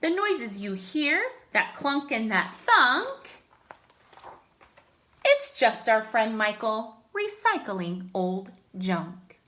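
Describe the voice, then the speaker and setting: Female children's story reader, expressive and emphatic, highish bright voice. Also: drawn-out words. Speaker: teacher reading a picture book aloud. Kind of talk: children's story read-aloud.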